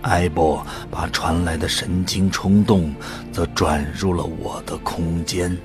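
A man acting the earnest, troubled protagonist of a science fiction radio drama, with a measured dramatic delivery. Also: low voice, fairly even intonation. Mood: awed narration. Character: earnest male protagonist, troubled and morally outraged. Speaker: voice actor and narrator in an audio drama. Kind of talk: Chinese sci-fi radio drama, first-person narration. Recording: faint music behind the voice.

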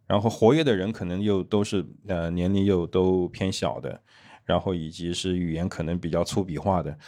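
A man in his thirties or forties, a former editor, explaining his views in a measured way on a casual podcast. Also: low voice, steady tone, warm tone. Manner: measured, explanatory, lists examples with "对吧".

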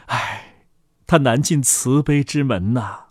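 A man's voice: medium pitch, slow, darkish tone, no room sound, clean studio sound. A man voicing a respectable, sanctimonious master in a fairy tale, sighing and moralizing. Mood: disapproving. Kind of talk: storybook character acting.

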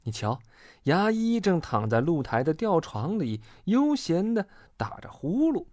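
A storyteller narrating a children's storybook in an expressive, dramatic way. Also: mid-range voice, a little slow, no room sound, studio quality.

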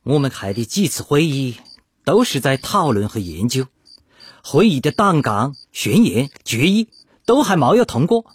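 An actor playing an earnest, concerned revolutionary delegate in a Chinese radio drama, serious and declamatory. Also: male voice, mid-range voice, sing-song, moderate pace, husky, no echo.

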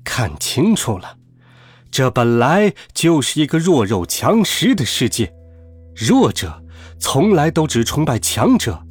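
A scheming storybook villain savors every word, drawling slowly and mockingly. A man acts him out, his voice leaping between low sneers and high, laughing triumph over soft background music. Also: very animated, husky. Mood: gloating. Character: gloating scheming male villain called Monkey.

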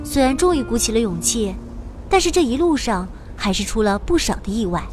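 A woman's voice: fairly high pitch, sing-song, fairly quick. A woman playing a cheeky, money-loving, boastful young mage who also narrates a fantasy audio drama. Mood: narrating adventure. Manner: playful, bouncy, boastful. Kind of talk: audio drama acting with first-person narration. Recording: background music under the voice.

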